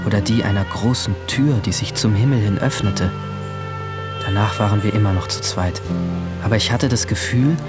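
A man's voice: lowish voice, close to an everyday voice. An actor playing an anxious, guilt-ridden seventeen-year-old boy, narrating his story introspectively in an audio drama. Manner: introspective, halting in dialogue. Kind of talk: first-person narration in a German audio drama. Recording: music under the voice.

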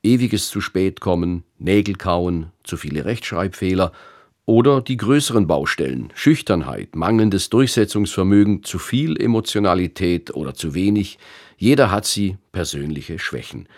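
A man's voice: sits low, lively intonation, normal speed, a little mellow. A male radio host reading a reflective, self-critical introduction in a studio. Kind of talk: scripted radio presentation.

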